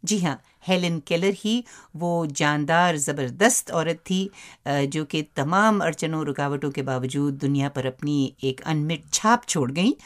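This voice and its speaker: A woman with an Indian accent co-hosting a radio magazine show in a conversational way, with expressive, emphatic phrasing. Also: low voice, a little slow, few pauses.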